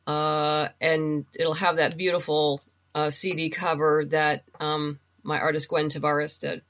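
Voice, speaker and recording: A woman's voice: low-pitched, little pitch movement, unhurried, fairly bright tone. A woman talking casually and enthusiastically as a guest in an interview, in a chatty way. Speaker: guest, writer and audio drama producer.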